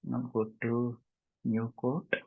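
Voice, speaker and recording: A man with a Telugu Indian accent giving a step-by-step software tutorial, mixing in English and often checking understanding with short tag questions. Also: medium pitch, fairly even intonation, drawn-out words, vocal fry. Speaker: software instructor. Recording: muffled lo-fi mic, roomy echo.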